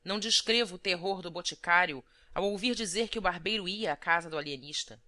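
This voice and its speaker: A woman reads literary prose as an audiobook narrator, in a measured, formal manner. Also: fairly low pitch, sharp tone.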